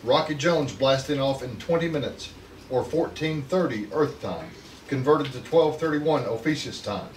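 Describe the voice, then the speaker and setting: A man's voice: mid-range voice, expressive, normal speed, close to an everyday voice. A man playing a cold, scheming villain who gives orders in a retro space adventure read-through. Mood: businesslike, scheming. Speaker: actor at a script table read. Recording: echoey room, distant mic, faint noise.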